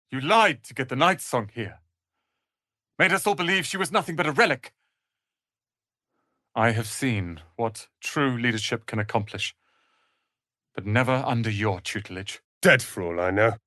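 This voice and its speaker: A man's voice: on the low side, sharp tone, no room sound, clean studio sound. A man playing a proud, prickly young apprentice wizard in a video game, speaking in a haughty, clipped and articulate way. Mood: anguished. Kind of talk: dramatic video game voice acting.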